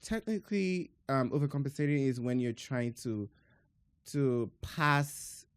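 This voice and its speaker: A man with a Nigerian accent talks through his ideas in relaxed podcast conversation. His voice sits in an ordinary middle pitch and is recorded dry and close, with no room sound. He explains things reflectively and at length. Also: measured pace, clean tone, no room sound. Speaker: podcast co-host. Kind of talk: casual chat.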